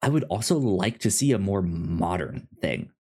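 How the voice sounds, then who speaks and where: A man from the United States co-hosting a casual film discussion, halting and often stammering on the first sounds of words. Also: sits low, animated, a little mellow, breathy edge. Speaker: podcast co-host discussing films.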